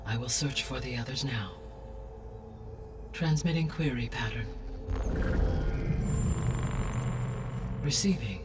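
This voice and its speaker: An actor playing a calm, grave artificial intelligence in a video game, speaking formally with precise, deliberate diction. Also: male voice, medium pitch, distant mic, loud music behind the voice, close to an everyday voice. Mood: grave, measured. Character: calm male artificial intelligence, grave, all-knowing custodian. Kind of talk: cinematic video game acting, exposition.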